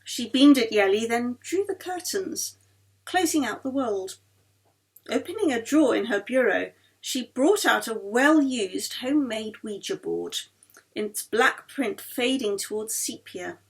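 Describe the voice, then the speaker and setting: Lively, higher-pitched woman narrator. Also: measured pace, poor audio. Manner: acts out dialogue of characters. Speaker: author reading own novel aloud. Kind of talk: expressive audiobook narration.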